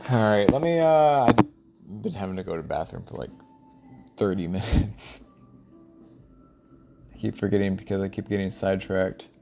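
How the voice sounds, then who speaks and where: A man thinking aloud in a rambling, casual audio log, halting and often trailing off mid-thought. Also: fairly low pitch, vocal fry, over music. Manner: casual, profane.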